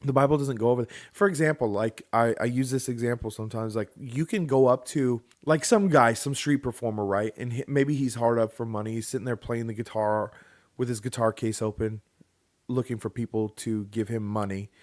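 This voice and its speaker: A man's voice: mid-range voice, brisk, darkish tone. Rambling male podcast co-host. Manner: rambling, many restarts and fillers. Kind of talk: casual chat.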